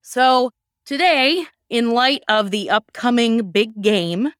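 A woman's voice: a little high, lively intonation, takes it slowly, a bit bright, clean tone, dry recording. A woman podcast host chats casually from home. She rambles, restarts, and pokes fun at herself with easy laughs. The recording is close and dry. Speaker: podcast co-host and sketch writer. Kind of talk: casual chat.

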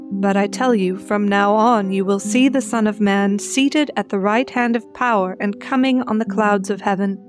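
A woman with a mid-range voice narrates scripture as a dramatic reading. Plucked guitar music plays clearly behind her. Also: mid-range voice.

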